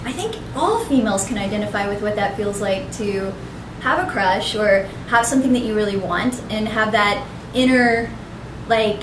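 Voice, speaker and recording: A young woman with a bubbly, young-sounding voice, chatting in an animated way as an interview guest at a convention. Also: medium pitch, normal speed. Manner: animated, chatty, fills with ums and restarts. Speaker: voice actress, guest. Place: busy convention hall. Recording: echoey, distant, noisy convention ambience.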